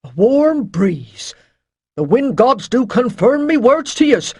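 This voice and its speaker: Reader doing a rough croaky man, high strained drawl, dry sound. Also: very animated, slow pace, no echo, full character voice. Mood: defiant. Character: rough, uneducated male sailor, sulky and defiant.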